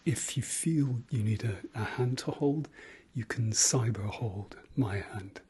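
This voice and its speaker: Whispering man, very breathy and slow. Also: mid-range voice. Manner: soft, gentle, hesitant pauses with trailing repeats. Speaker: guided meditation host. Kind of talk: whispered ASMR guided meditation.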